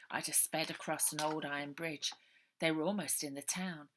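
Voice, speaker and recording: A woman author reading her own children's adventure novel aloud, lively, dropping to a whisper for suspense. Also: low-pitched, moderate pace, background noise. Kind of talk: storybook narration for children.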